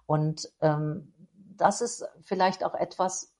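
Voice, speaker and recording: Middle-aged woman from berlin, low voice, lots of ums and restarts, thinking out loud in an interview. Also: steady tone, takes it slowly. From Berlin, Germany. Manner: hesitant, many fillers and restarts. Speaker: interview guest. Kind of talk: reflective conversational answers.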